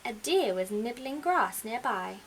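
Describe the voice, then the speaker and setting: A woman narrates a picture book for children. Her voice is fairly high and moves with lots of animated melody. The recording carries faint background noise. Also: measured pace. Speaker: storyteller reading to children. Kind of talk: expressive children's storybook narration.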